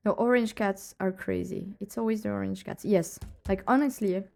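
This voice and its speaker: A woman's voice: mid-range voice, moderate pace, vocal fry, dark tone. A woman with a cold streaming a detective game, chatting casually and laughing often as she plays. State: has a cold, voice lowered. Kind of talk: casual live-stream chat.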